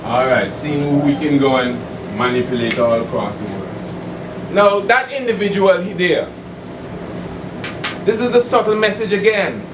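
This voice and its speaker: A man's voice: on the high side, unhurried. A man giving an informal esoteric lecture as a preacher, emphatic and didactic, repeating points and asking rhetorical questions. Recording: distant mic, echoey room, noisy hum.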